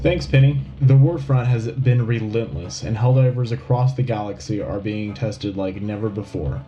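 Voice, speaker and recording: Man acting a deep, solemn wartime radio anchor. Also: warm tone, lo-fi sound, a little hiss or hum, natural voice. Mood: grave, urgent. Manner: grave, rousing, measured delivery. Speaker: radio host. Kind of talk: scripted dramatic radio newscast.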